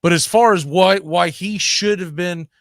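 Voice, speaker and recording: A man with a slightly high voice hosts a casual sports discussion. He talks conversationally, tossing in little check-in questions as he makes his points. The recording is dry and close, with little room sound. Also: a little slow, creaky, fairly bright tone, no room sound. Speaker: host of a baseball talk show.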